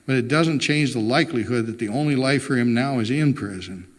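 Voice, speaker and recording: A man's voice: mid-range voice, brisk, in a large hall, lightly acted. A man voicing a reluctant, plainspoken husband in a storybook reading, stubborn, wry and resigned.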